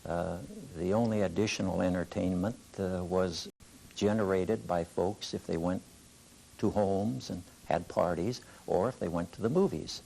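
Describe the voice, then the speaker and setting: Very deep, creaky older man. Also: a little slow, dark tone, a little hiss or hum, low-quality recording. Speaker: interviewee recalling early local radio. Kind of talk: reminiscing in an interview.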